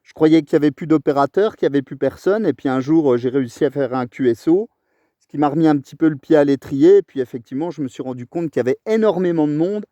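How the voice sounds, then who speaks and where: A man's voice: a little high, quick pace, mellow, no echo, muffled recording. A man chatting casually as a radio operator in long, rambling, enthusiastic turns. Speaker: amateur radio operator recording his contact.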